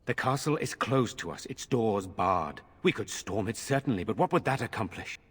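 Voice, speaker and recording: An actor in a fantasy game playing an earnest, weary nobleman defending his village, speaking formally and gravely. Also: fairly low pitch, animated, moderate pace, fairly bright tone, in a large hall.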